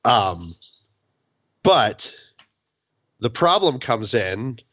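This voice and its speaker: A man's voice: medium pitch, very animated, very slow, a bit bright, no echo, lo-fi sound. A man in a casual gaming podcast discussion, speaking in an emphatic, opinionated and hyperbolic way. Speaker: podcast panelist.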